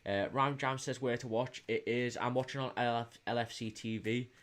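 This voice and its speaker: A man's voice: medium pitch, fairly even intonation, normal speed, a bit bright. A man with a Liverpool accent hosting a casual football watch-along stream, chatting informally and hesitantly as he answers viewers' comments. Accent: Liverpool English. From England, Liverpool. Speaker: livestream host.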